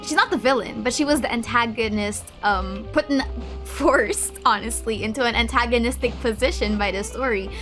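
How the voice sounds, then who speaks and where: Fairly high-voiced woman commenting on a game. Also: moderate pace, a bit bright. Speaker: game streamer. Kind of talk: casual gameplay commentary. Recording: faint music behind the voice.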